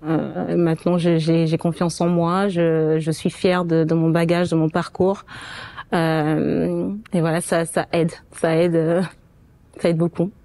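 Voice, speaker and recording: A woman with a fairly low, mellow voice shares her own story in an interview. She comes from Portugal and is not a native speaker of the language. Her delivery is hesitant, often stopping and starting again. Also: level tone, unhurried. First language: not French. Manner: hesitant, frequent stops and restarts. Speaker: interview guest, quality manager. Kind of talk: personal testimony in conversation.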